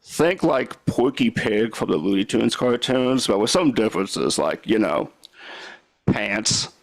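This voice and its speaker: A young man doing live stand-up with a stutter, talking slowly and haltingly with deadpan one-liners. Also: lowish voice, creaky, no echo. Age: young adult. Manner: slow, halting delivery with restarts. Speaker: stand-up comedian. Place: comedy club stage.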